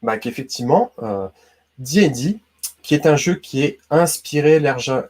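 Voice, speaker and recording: Man, husky voice, casual quiz host, chatty, talks to the viewer. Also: medium pitch, lively intonation, measured pace, darkish tone. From Brittany, France. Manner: chatty, addresses viewer as tu, many hein and d'accord. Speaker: YouTube host.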